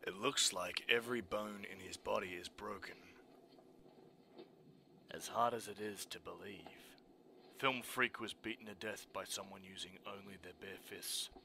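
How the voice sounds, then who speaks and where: A man playing a grim, brooding masked vigilante detective, terse and gravelly, in a fan-dub drama. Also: on the low side, fairly even intonation, normal speed, bright, forceful tone, slight background noise, full character voice. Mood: grim, analytical. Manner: terse, serious.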